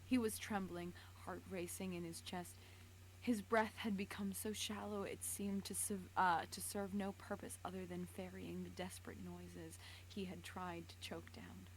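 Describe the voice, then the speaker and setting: A woman's voice: lowish voice, little pitch movement, a little slow, rough recording. A woman narrating fan fiction dramatically on a live stream, stumbling over words and dropping into casual asides. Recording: clear background noise.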